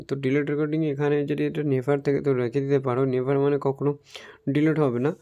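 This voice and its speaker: A man's voice: on the high side, warm tone. A man giving an informal phone tutorial voice-over, explaining step by step in a repetitive, instructional way. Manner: instructional, repetitive, addresses viewers as friends. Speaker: tech tutorial YouTuber.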